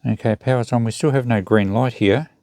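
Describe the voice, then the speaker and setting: A man's voice: medium pitch, brisk, noisy background. A man giving casual, unscripted commentary as he thinks aloud through a hands-on repair job. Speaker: host of a repair channel.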